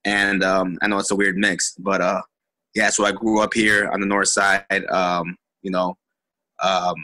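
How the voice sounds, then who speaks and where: A man in his twenties from Chicago, telling his story as an interview guest, halting with many ums and restarts. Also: sits low, little pitch movement, sharp tone, no echo. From Chicago, USA.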